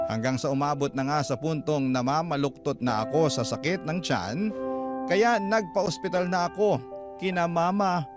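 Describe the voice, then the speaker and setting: A Filipino man hosting a radio drama, reading a listener's letter as dramatic scripted narration. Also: on the high side. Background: music behind the voice.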